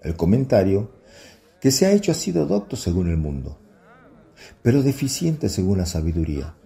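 An actor playing an earnest apostle leader, preaching solemnly and oratorically in a religious radio drama. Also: male voice, low-pitched, sing-song, moderate pace, creaky, warm tone, heavily acted. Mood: solemn, instructive.

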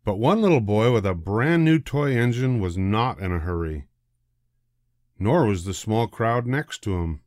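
An older man narrating a children's storybook in a deliberate, expressive way. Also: fairly low pitch, no echo, studio quality. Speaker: storybook reader.

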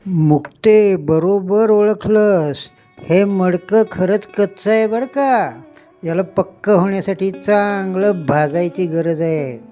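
Man playing a slow, mellow wise old potter. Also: high voice, faint music behind. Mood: knowing, amused. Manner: unhurried, fatherly, instructive. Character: wise, kindly older male potter saint. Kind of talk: children's devotional story acting.

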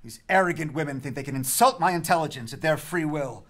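A man's voice: fairly high pitch, quick pace, a bit bright, lightly acted. An actor playing an arrogant, authoritarian king with a commanding, sneering delivery in a dramatic stage reading of Greek tragedy. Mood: outraged. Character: arrogant, authoritarian young male king, scornful and enraged. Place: stage in a theatre. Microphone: tabletop microphone.

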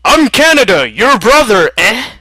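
A man's voice: very high-pitched, big pitch swings, a little slow, sharp tone, no room sound, voice pushed up, full character voice. A man voicing a vengeful, forgotten brother turned evil scientist, with a habit of tag words, in a comic story. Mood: indignant. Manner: tags sentences with "eh".

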